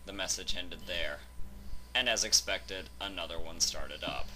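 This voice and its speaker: A man's voice: sits low, unhurried, sharp tone, slight background noise. A man reading scripted fan fiction narration as the storyteller.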